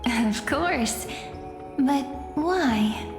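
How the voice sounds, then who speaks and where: A woman voicing a gentle, mystical young oracle in a fantasy game, with a ceremonious manner. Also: high voice, lively intonation, very slow, full character voice. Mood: gently curious. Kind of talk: dubbed fantasy game voice acting. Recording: long reverb, faint music behind.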